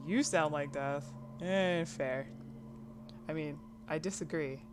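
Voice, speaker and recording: A woman with a husky voice chats casually to her viewers during a live art stream. She rambles, trails off mid-thought and starts over, often breaking into laughter. Steady background noise sits under her voice. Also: deep voice, slow pace. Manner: chats to an online audience.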